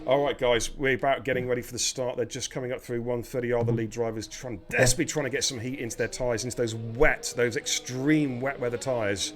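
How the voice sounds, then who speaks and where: A man with a slightly croaky voice commentating a live sim race, chatty and given to repeating himself. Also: medium pitch, steady tone, a little fast, hardly any pauses, faint music behind. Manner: chatty, laughs easily, stumbles and repeats words. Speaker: lead sim racing commentator.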